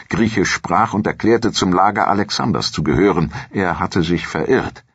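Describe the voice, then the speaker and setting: Very deep, husky male narrator. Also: fairly bright tone, dry recording. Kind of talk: scripted documentary audiobook narration. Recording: muffled, dull lo-fi audio.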